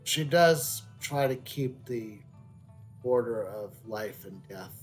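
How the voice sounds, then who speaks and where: Slow, halting man streaming a game. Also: medium pitch, lively intonation. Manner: halting, thinking aloud. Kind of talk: casual live game commentary. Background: soft game music.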